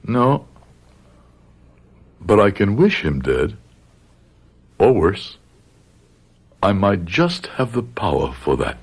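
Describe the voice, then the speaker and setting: A man's voice: lowish voice, sing-song, slow, long pauses, breathy edge, full character voice. An actor playing a bitter, grieving middle-aged father with a grave, dramatic manner in a radio drama. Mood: brooding, resolved. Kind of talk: radio drama acting with first-person narration.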